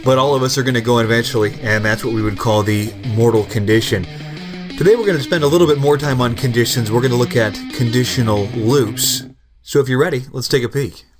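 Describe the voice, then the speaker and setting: A young man in his twenties walks through code on screen in a loose, conversational way. He cracks dry jokes and plays down his own skill. His voice sits at an everyday middle pitch. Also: fairly quick, over music. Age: 20s. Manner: casual, joking, self-deprecating explainer. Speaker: programming tutorial host. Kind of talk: screen-recorded coding lesson.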